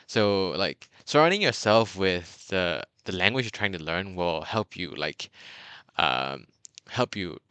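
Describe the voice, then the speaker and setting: A young man from Burma talks through a beginner's lesson in a low, bright and crisp voice, dotted with fillers and restarts. The sound is dry and close, with no room around it. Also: expressive, slow pace, no room sound. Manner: chatty, many fillers and restarts. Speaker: language tutor. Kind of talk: casual video lesson.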